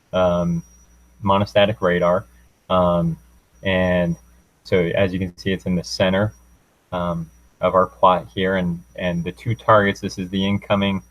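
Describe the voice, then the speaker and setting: A man's voice: low-pitched, poor audio. A young man giving an informal student technical presentation, talking through a demo in a hesitant, unscripted way. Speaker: student presenting a class project.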